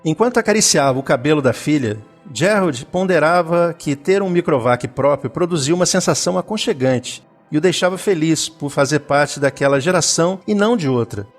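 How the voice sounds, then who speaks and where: A man's voice: fairly high pitch, lively intonation, a little fast, faint music behind. A man reading scripted story narration for an audio drama.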